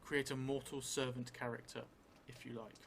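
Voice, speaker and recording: A middle-aged man thinking aloud as he improvises a solo tabletop game, hesitant and musing with long pauses. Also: medium pitch. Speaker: solo role-player and streamer. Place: indoor theatre room, seated at a table. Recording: clear steady background noise.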